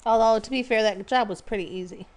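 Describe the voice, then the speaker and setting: A woman's voice: a little high, fast talker, a little mellow. A woman co-hosting a casual podcast, asking questions and correcting and explaining her partner's answers. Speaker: podcast co-host. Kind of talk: casual chat.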